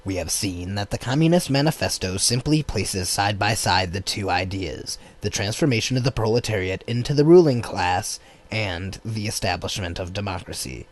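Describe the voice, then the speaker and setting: A man narrating a political text as an audiobook in a measured, formal manner. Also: on the low side, animated. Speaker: audiobook reader.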